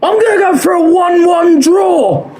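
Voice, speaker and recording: Hoarse high-voiced man giving football tips. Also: measured pace, a little mellow, shouting. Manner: chatty, quotes odds, repeats himself, frequent "uh". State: hoarse, losing his voice. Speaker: football predictions host. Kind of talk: solo football score predictions.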